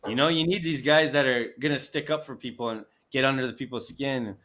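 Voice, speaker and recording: Bright-voiced rambling Canadian man. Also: medium pitch, a little fast. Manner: rambling, restarts often, laughs, swears casually. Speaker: sports show host. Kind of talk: casual sports chat with a co-host.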